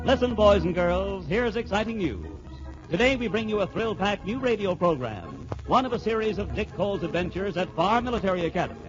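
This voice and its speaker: A man announces an old-time radio serial with excitement. His voice is high and sweeps up and down. Music plays behind him on a dull 1940s recording. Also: brisk.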